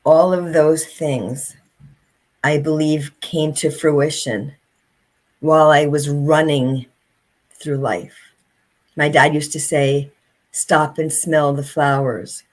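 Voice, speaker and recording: A woman's voice: sits low, fairly even intonation, slow pace, long pauses. A middle-aged woman, an author and speaker, telling her story as an interview guest in an earnest, reflective way.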